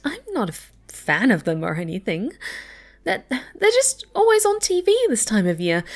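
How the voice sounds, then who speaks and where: A woman plays a young cat girl in an unhurried ASMR roleplay, with faint music behind her. She is haughty and pouty, a spoiled brat who stammers when flustered and has a soft, needy side underneath. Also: on the high side.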